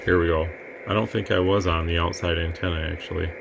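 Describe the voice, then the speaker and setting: A man testing radio gear in a relaxed, rambling way, breaking into mic checks and counting. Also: deep voice, fast talker, creaky, noisy background. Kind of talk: casual product demo.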